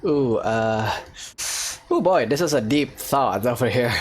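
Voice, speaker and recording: Animated gamer giving casual commentary. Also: medium pitch, slow pace, slight background noise. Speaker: video game player commenting on a playthrough. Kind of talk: casual reactive gameplay commentary.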